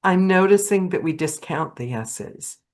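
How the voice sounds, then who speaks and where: A woman's voice: on the low side, animated, unhurried, a little mellow. A woman hosting an interview, emphatic, supportive and playful with her guest. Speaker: podcast host. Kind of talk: casual interview chat.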